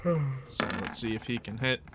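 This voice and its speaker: A man casually narrating and refereeing a tabletop roleplaying game as game master, improvising the story in an animated way. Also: mid-range voice, moderate pace, noisy background.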